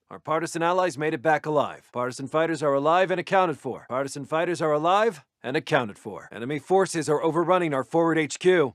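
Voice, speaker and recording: A military intelligence officer in a war game, with a fairly high-set male voice. He reports to his commander in short, clipped, urgent phrases, dutiful and focused. The sound is very dry and close, free of room echo. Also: a bit bright. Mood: urgent, alert. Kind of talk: military game announcer voice lines.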